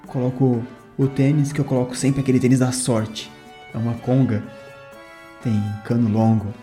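A man playing a tabletop game, calmly narrating his boy character's morning in the first person. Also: mid-range voice, normal speed, soft background music. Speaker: tabletop player.

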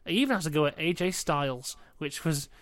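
A man's voice: fairly high pitch, expressive, brisk, a bit bright, no echo. A young man co-hosting a casual podcast chat, leading through the news and teasing his co-host with dry humour.

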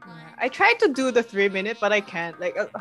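A woman's voice: medium pitch, sing-song, brisk, fairly bright tone, faint music behind. A young woman from Korea chatting as a podcast guest, giggling and self-deprecating, with some Tagalog mixed in. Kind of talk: casual interview chat.

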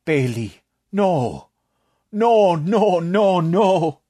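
A man voicing a furious fish-like male creature who scolds bluntly in a high, strained voice. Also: big pitch swings, drawn-out words, mellow, full character voice. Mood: dry, exasperated.

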